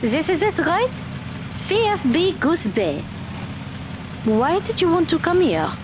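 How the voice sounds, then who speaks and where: A woman's voice: extremely high pitch, huge pitch swings, slow pace, noisy background, heavily acted. A woman playing an outspoken, forthright teenage girl in an audio drama. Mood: curious.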